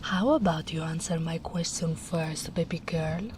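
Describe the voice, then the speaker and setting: A big, strong but tender ogress, acted by a woman, speaks slowly in a soft, lowish voice. She is caring and lightly teasing, in an intimate ASMR roleplay. There is clear ambient noise behind her. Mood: intimate, whispering. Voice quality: soft-spoken. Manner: soothing, unhurried, gently teasing. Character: gentle big strong female ogre, caring, teasing rescuer. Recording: noisy storm ambience behind voice.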